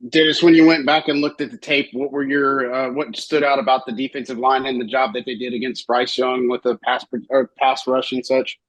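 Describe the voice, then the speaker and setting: A man's voice: mid-range voice, little pitch movement, brisk, hardly any pauses, low-quality recording. A man working as a sports reporter, putting questions to a coach at a press conference.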